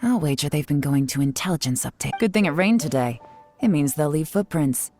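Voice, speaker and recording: Casting: cool, self-assured woman investigator in an anime game, deep smooth-dark tone, deliberate delivery. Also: animated. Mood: shrewd. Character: cool, shrewd woman investigator leading a covert inquiry. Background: game music.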